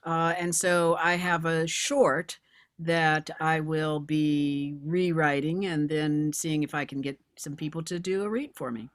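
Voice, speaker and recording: A woman's voice: sits low, fairly even intonation, unhurried, no room sound, clean studio sound. A woman voice actor talking casually about herself and her work on a video call. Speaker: actor, voiceover artist and audio engineer. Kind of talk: casual self-introduction and chat.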